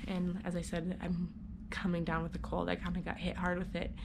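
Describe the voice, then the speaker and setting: A woman's voice: on the low side, completely flat delivery, brisk, gravelly, background noise. A young woman flight attendant with a cold, chatting casually to camera in hotel rooms on her layovers, her voice stuffy and congested. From United States. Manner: chatty, filler-heavy recap.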